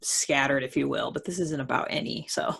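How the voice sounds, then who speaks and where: A woman's voice: rumbling low, fairly quick, creaky, fairly bright tone, slightly rough. A woman hosting a casual, chatty interview, asking questions in an informal, tentative way with frequent hedges. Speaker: host interviewing a guest.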